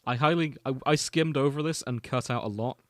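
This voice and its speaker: Male podcast host, medium pitch, storytelling read of amateur fiction with informal asides, very dry sound. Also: fairly quick, fairly bright tone, no room sound. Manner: storytelling, alternating read-out prose with casual asides. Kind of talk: reading and summarizing fan fiction.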